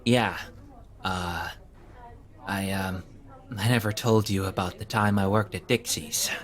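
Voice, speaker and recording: A man's voice: low-pitched, fairly even intonation, slow pace. A man playing an awkward, hapless young man in an audio drama, talking in a hesitant, sheepish way full of fillers. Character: awkward, hapless young man who keeps getting fired. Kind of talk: conversational audio drama acting.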